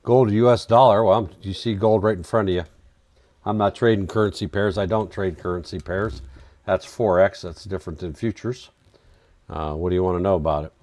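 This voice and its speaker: Low-voiced man losing his voice. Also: steady tone, a little fast, a little mellow, no room sound. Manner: chatty, answers viewer questions while reading charts. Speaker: live trading stream host. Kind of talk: casual live market commentary.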